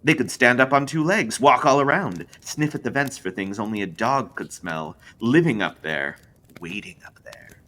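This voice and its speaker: A man's voice: mid-range voice, expressive, moderate pace, sharp tone, voice pushed up. A man voicing a creepy, smiling, thin male stranger who needles with mocking questions in a horror story. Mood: sinister, probing. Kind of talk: audiobook-style character voice in a horror story.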